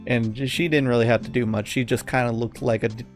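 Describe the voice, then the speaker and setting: A man's voice: mid-range voice, steady tone, fast, soft background music. A man co-hosting a casual podcast, giving blunt opinions with some swearing and often searching for words. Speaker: podcast co-host.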